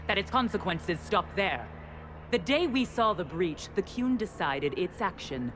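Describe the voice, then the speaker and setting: High-pitched, stern Qunari commander. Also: male voice, a bit bright, a little hiss or hum. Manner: formal, declamatory. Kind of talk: dramatic video game acting.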